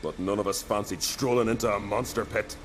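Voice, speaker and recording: An actor playing a loyal, hot-headed young island warrior in a video game, with an earnest, colloquial way of telling a tale. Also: male voice, lowish voice, fairly even intonation, fairly quick, soft background music, lightly acted. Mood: rueful, eager. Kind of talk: dramatic video game voice acting.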